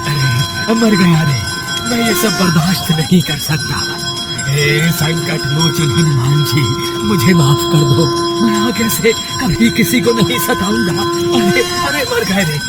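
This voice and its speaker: A man's voice: sits high, lively intonation, takes it slowly, over loud music, low-quality recording. A man voicing a severed-head male ghost in a horror cartoon, menacing yet prone to exclamatory, pleading wails. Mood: panicked, pleading. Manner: wailing, exclamatory. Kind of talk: Hindi horror cartoon character voice acting.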